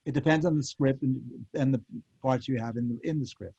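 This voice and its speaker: A man's voice: brisk, dark tone, husky. An older man, a former radio actor, answering interview questions off the cuff in a hesitant way, repeating and restarting his phrases. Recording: dull, roomy low-fidelity audio.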